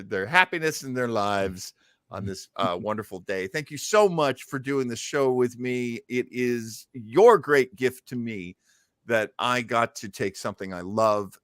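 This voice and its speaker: A man's voice: lowish voice, a bit bright, no room sound, studio quality. A man hosting a casual chat show, talking in an effusive, appreciative manner. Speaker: show host.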